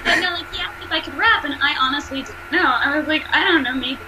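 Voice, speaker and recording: Nasal, high-voiced American voice actress, quick and bubbly, chatting on a call with faint hum. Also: female voice. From United States. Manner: fast, animated, laughs often. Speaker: voice actress and singer. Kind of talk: casual interview chat.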